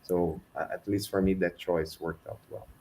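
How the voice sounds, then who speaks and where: Guest: middle-aged man, Brazilian accent, Portuguese native, lowish voice, easygoing and reflective. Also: steady tone, moderate pace, dark tone. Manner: reflective, easygoing, self-deprecating. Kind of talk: conversational career talk on a webinar.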